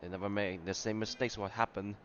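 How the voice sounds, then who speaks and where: A man's voice: on the low side, steady tone, fairly quick, muffled recording, background noise. A man giving casual, hesitant commentary as he streams a game playthrough. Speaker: gaming streamer. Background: game music.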